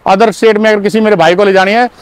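A middle-aged man with a fairly high voice, selling used cars in a punchy, energetic pitch. He keeps addressing the listeners as his brothers. He is recorded outdoors on a car lot with a clip-on lapel mic. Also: quick pace, clear, resonant tone, dry recording. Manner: punchy, repeats "my brothers" often.

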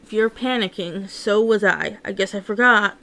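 A woman's voice: mid-range voice, normal speed, gravelly, sharp tone. A woman narrating a first-person story read-along in an emotive, theatrical way.

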